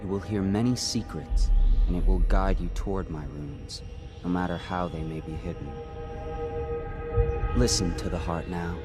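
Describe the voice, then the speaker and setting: An actor playing a calm, enigmatic supernatural being from a video game, speaking in a measured, solemn, cryptic way. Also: male voice, low voice, natural voice. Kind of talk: scripted video game monologue. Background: music.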